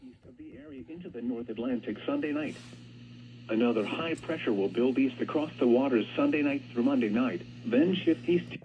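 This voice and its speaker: A man's voice: medium pitch, very animated, moderate pace. A man reading a scripted forecast as a broadcast announcer, heard through a radio speaker. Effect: played through a radio speaker. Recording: noisy, dull weather radio speaker playback.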